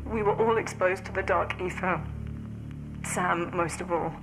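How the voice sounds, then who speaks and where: An actress playing a regretful mother in her forties, recording a soft, emotional farewell message. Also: lowish voice, a little slow, over music, rough recording. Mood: tender. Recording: video message under loud score.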